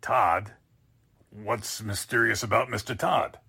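Performer as a raspy, flustered male boss. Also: on the low side, steady tone, slow, bright, forceful tone, no echo, studio quality, full character voice. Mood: bewildered. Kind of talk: 1940s radio drama acting.

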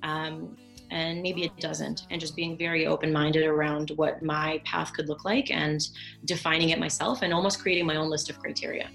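A woman's voice: deep voice, flat delivery, moderate pace, gravelly, fairly bright tone, faint music behind, low-quality recording. A woman from Moldova whose first language is Russian, answering interview questions thoughtfully, with many ums and restarts. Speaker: podcast guest.

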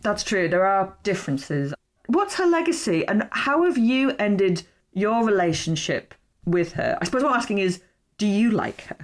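A woman's voice: mid-range voice, big pitch swings, moderate pace. Enthusiastic Yorkshire woman podcast host. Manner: enthusiastic, friendly. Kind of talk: podcast interview and outro.

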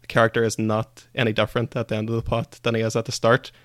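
A man's voice: fairly low pitch, monotone, fast, a bit bright, no room sound, clean studio sound. A man co-hosting a podcast, giving his opinions in a casual book discussion.

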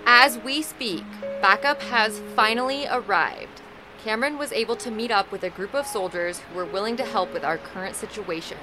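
A woman's voice: medium pitch, natural voice, rough recording. A woman playing an earnest survivor speaking to her group in an audio drama.

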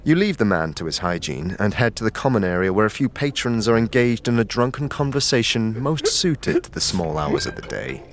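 A man giving measured, scripted narration for a fantasy audio drama. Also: on the low side, sing-song.

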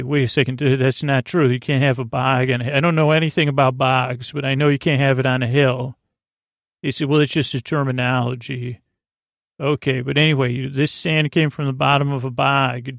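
A man hosting a bedtime podcast in a rambling monologue, halting and restarting his sentences, self-deprecating and absent-minded, with a creaky, gravelly voice. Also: mid-range voice, dry recording, studio quality. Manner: meandering, halting, self-interrupting, stammering restarts. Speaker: podcast host.

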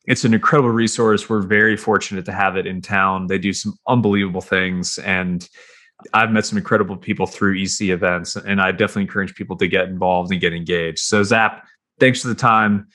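Bright-voiced upbeat male host. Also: sits low, fairly even intonation, a little fast. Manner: upbeat, folksy, wraps things up with praise. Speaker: podcast host. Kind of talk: casual chat.

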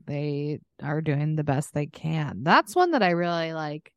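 Female podcast co-host, slightly low voice with lively intonation, casual reactive chat, dry close recording. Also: measured pace, no echo, muffled recording.

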